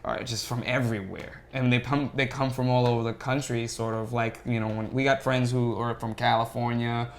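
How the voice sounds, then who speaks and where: A man chatting casually as a podcast guest, telling stories in a rambling, animated way about his hobby. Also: medium pitch, moderate pace, few pauses, slight background noise. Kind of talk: casual chat.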